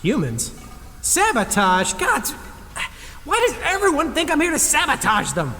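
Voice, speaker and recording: A hapless everyman cleaner in a comedy audio drama, high-voiced and nervously sarcastic. He rambles self-deprecatingly with lively, jumpy intonation, his words ringing in a long cavernous reverb. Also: measured pace, full character voice. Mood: exasperated.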